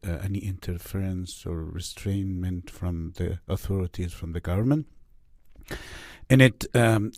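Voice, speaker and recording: Deep-voiced hesitant Afghan man. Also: a little mellow, dry recording, clean studio sound. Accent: Afghan Persian. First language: Farsi. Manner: halting, many fillers and restarts. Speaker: interview guest, writer and academic. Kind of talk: reflective interview answers.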